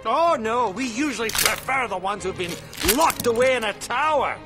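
An actor playing a big, proud, quick-tempered ogre with a Scottish accent in an animated film. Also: male voice, very high-pitched, music in the background. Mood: angry, sarcastic. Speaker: son-in-law at a family dinner.

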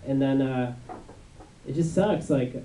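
A young man with a high, unmasculine voice doing a rambling stand-up set on a club stage, with many fillers and restarts. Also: takes it slowly, warm tone, slight background noise. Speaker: stand-up comedian. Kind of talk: stand-up routine. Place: dark club stage before a live audience. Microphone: handheld mic on a stand. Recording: echoey room, mic from far off.

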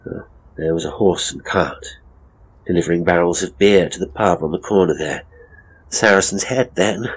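Actor as low, halting British ghost tour guide. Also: male voice, husky, poor audio. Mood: grave, suspenseful. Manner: hesitant, halting, dramatic pauses. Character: hapless male ghost tour guide, theatrical, uneasy. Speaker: horror storyteller and voice actor. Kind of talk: dramatic first-person audio drama monologue.

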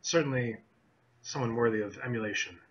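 A man's voice: mid-range voice, brisk, highs cut off. A young man hosting a casual interview from a room at home over a video call, eager and admiring, with hesitant fillers. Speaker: podcast host.

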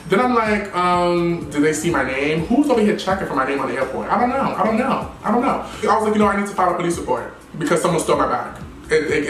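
A young man in his twenties tells a story to camera in a fast, dramatic, expressive voice, pitched a bit high and full of sassy asides. The sound is roomy and slightly distant. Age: 20s. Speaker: YouTuber. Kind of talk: storytime vlog. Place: indoor room with plain wall and plant. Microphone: camera at a distance, chest-up framing.

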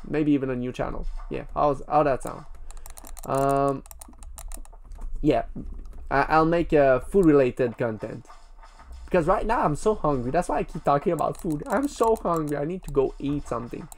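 A man's voice: fairly high pitch, lively intonation, moderate pace. Rambling, playful man narrating gameplay. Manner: rambling, playful, talks straight to viewers. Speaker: gaming video creator. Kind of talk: casual gameplay commentary.

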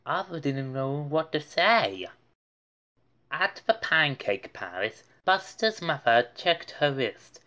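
A woman reading a children's storybook aloud in an animated way, acting out the characters. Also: slow. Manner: highly animated, acts out each character. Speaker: storybook reader.

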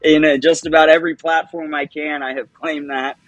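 A man's voice: on the high side, flat delivery, fairly quick, dry recording. A man in his thirties talking as an interview guest over a video call from home, rambling and upbeat, laughing often. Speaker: guest, project founder. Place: home, by a window. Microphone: webcam on video call.

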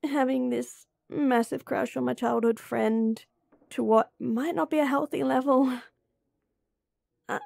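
A woman's voice: high-pitched, vocal fry, mellow, no room sound, clean studio sound, heavily acted. A woman voicing a young woman with a crush on her childhood friend, teasing playfully and muttering whispered asides to herself. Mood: shy confessing. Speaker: voice actor. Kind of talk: romantic roleplay audio.

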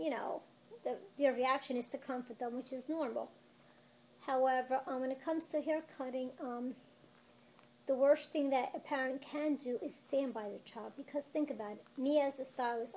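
A woman's voice: fairly high pitch, darkish tone, poor audio. A middle-aged woman explaining her work informally to camera, speaking emphatically like someone telling a story from experience. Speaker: children's barber, shop owner. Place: small barbershop indoors. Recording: faint background room noise.

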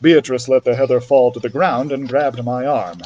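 A man's voice: medium pitch, fairly even intonation, moderate pace, darkish tone, highs cut off. A man reading a children's story aloud expressively, as a library storyteller would.

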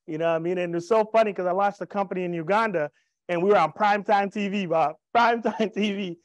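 A man's voice: high-pitched, fast, no echo, muffled recording. A man in his thirties giving an upbeat, joking sales presentation on stage with a handheld mic, telling personal stories. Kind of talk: sales presentation to a live audience. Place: event room with a stage. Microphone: handheld microphone.

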